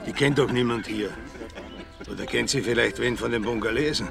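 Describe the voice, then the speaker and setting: A man playing a gravelly, blustering village gentleman. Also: mid-range voice, brisk, lightly acted. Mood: perplexed. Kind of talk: German radio drama acting. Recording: music behind the voice.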